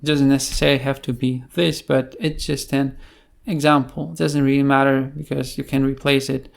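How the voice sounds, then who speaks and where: A man giving an unscripted tutorial explanation in a halting way, with many filled pauses. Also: medium pitch, level tone, vocal fry. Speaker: programming tutorial presenter.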